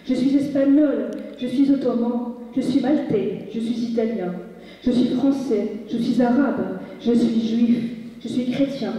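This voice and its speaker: A woman giving a measured, declamatory reading of texts at a musical reading, heard from a distance in a large hall. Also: on the high side, dark tone. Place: large hall with long reverb. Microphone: distant from the mic. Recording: echoey hall recorded from far off, dull sound.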